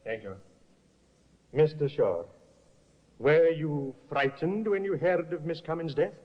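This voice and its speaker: An actor playing a shrewd, polished defense barrister in a 1950s radio drama, speaking in a measured, probing way. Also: male voice, on the high side. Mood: cross-examining. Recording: dull lo-fi old radio broadcast.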